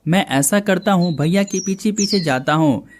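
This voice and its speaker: A man's voice: high voice, normal speed, a little hiss or hum. A man voicing the brothers and villagers of a cartoon story in plain conversational dialogue.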